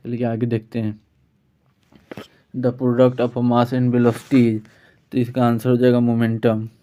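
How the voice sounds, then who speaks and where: A man's voice: mid-range voice, completely flat delivery, slow pace, mellow, smooth. A man with an Indian accent giving an informal exam-prep physics lesson as a teacher, working through questions. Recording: dull, lo-fi sound.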